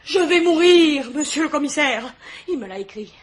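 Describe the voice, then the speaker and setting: A woman's voice: sits high, animated, normal speed. An actress playing a chatty, frightened landlady with a southern French Provençal accent, theatrical and repetitive, in a radio mystery play. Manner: breathless exclamations, repetitive, theatrical. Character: melodramatic, chatty, frightened landlady with folksy turns of phrase. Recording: noisy old radio recording with clicks.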